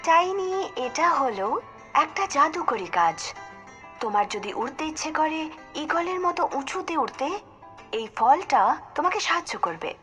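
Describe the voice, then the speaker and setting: Lively, high-voiced cartoon mother, voiced by a woman. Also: normal speed. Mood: reassuring. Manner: gentle and reassuring, lively storybook telling. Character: patient, encouraging mother, to children and as a mother sparrow. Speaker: storyteller. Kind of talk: cartoon dubbing and storybook narration. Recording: background music under the voice.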